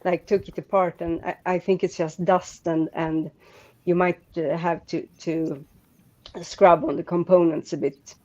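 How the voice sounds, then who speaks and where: A Swedish-accented woman talks slowly over a muffled, lo-fi call line. Her voice sits fairly low, and she rambles with frequent restarts and fillers. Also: fairly even intonation, a little mellow. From Sweden. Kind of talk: casual group chat.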